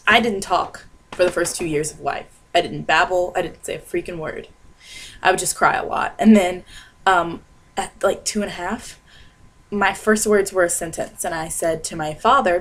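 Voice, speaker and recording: A woman's voice: medium pitch, fairly bright tone. A young woman from Maryland, telling a dramatic, self-mocking comic rant to her webcam in a small bedroom. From Maryland, USA. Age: young adult. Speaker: vlogger. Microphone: webcam at desk.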